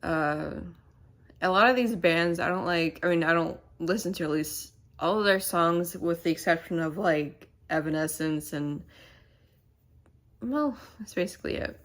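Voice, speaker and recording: Low-voiced, stuffy-nosed woman vlogging. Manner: rambling and halting, many fillers. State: stuffy, nasal. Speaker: channel host. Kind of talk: casual to-camera vlog chat. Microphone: camera mic.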